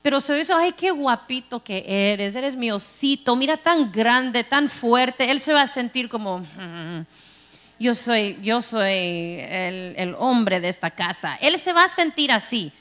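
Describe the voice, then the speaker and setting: A middle-aged woman pastor from Texas preaching a sermon on a large church stage into a handheld mic, animated and playful, acting out quoted voices. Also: on the high side, measured pace. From Texas, United States. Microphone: handheld microphone.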